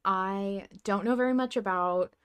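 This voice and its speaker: A woman's voice: mid-range voice, a little slow, fairly bright tone, clear, resonant tone. A woman hosting a podcast in casual fan-chat style, gushing with enthusiasm and swearing casually. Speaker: podcast host.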